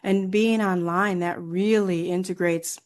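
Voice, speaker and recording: A woman's voice: on the low side, steady tone, measured pace. A woman hosting a podcast, interviewing a guest in a conversational style. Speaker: podcast host.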